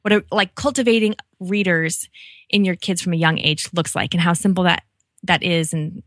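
A woman's voice: on the low side, fairly even intonation, normal speed, fairly bright tone, no room sound. Chatty American woman, dry close sound. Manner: enthusiastic, chatty. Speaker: podcast guest, read-aloud community founder. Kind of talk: casual conversational interview.

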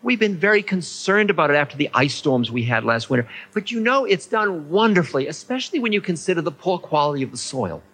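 A tiny old man in his seventies, beaming and chatty, his voice jumping up high and swinging widely with delight, as a storyteller puts him on. He rattles on quickly and happily in a storybook reading. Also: sing-song, fairly bright tone, breathy edge, full character voice.

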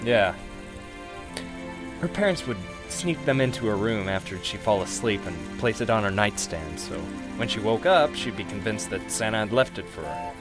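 A man playing a hesitant, guilt-ridden widowed husband confiding in an audio drama, with halting delivery. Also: on the low side, expressive, lightly acted. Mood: wistful, sad. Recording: background music under the voice.

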